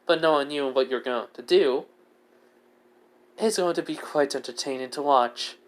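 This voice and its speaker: A man's voice: medium pitch, normal speed, natural voice. A man voicing an amused, bossy goddess in a fantasy story, teasing and matter-of-fact. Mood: amused, explaining.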